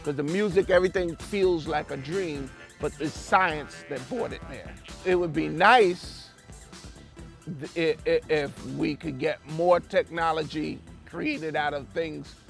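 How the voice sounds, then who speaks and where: A man musing casually in an interview, informal and slangy, in a halting way. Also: fairly high pitch, gravelly, a bit bright, soft background music.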